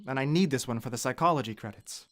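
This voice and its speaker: A man's voice: mid-range voice, quick pace, fairly bright tone, close to an everyday voice. A young man playing an earnest, analytical student son in a radio play, articulate and reasoning. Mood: earnest, reassuring. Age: young adult.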